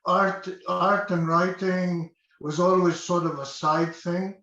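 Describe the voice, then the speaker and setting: A man's voice: high voice, little pitch movement, takes it slowly, pushed and bright. An older man from Egypt, a guest in a casual interview, speaking hesitantly with many fillers and restarts. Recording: echoey dull-sounding room.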